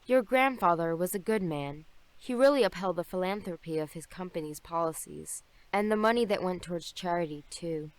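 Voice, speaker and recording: A woman voicing a kindly, formal member of school staff in a visual novel, polite and measured. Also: fairly low pitch, no room sound, close to an everyday voice. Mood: kindly concern. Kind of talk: visual novel character acting.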